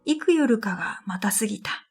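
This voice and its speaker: A woman's voice: mid-range voice, animated, normal speed, slightly rough. A woman narrating a scripted first-person story for a radio drama in an introspective, matter-of-fact manner. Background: music.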